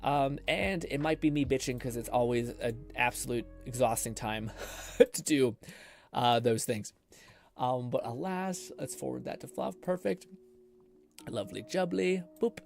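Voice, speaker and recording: A man's voice: medium pitch, a little slow, creaky, soft background music. A man live streaming, explaining things in a casual, rambling way with self-deprecating swearing. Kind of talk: casual rambling stream talk with tutorial advice.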